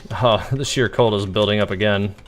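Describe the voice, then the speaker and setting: A man's voice: lowish voice, steady tone, moderate pace. A man giving casual, easygoing live game commentary as a streamer, thinking aloud as he plays. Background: game music.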